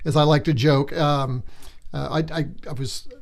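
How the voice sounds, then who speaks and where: A man hosting a casual livestream, rambling as he reads out viewer comments, his voice going hoarse. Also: a little high, steady tone, normal speed. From born in Germany, military family. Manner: chatty, rambling, many restarts and fillers. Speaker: livestream host.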